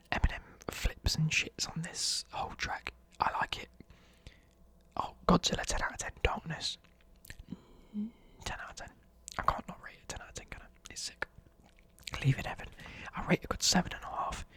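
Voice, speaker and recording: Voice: mid-range voice, sharp tone, slightly rough. A young adult whispering a casual, enthusiastic ASMR music review, swearing now and then and muttering lyrics under the breath. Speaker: ASMR vlogger.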